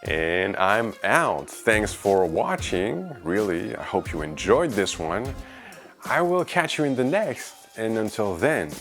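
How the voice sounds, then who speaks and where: Male vlogger, low voice, presenting gear reviews over background music. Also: big pitch swings, slow, a bit bright. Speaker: video creator and reviewer. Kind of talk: product review vlog narration to viewers.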